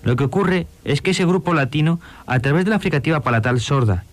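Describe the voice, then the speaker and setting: A man lecturing precisely and slowly, in an archival radio lesson. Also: fairly high pitch, animated, dry recording, faint music behind. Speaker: university lecturer.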